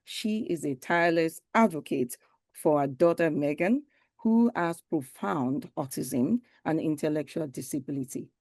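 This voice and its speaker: A woman's voice: deep voice, expressive, measured pace, a little mellow, dry recording. A woman moderating a conference session, introducing the guest formally and welcoming her, with "um" fillers.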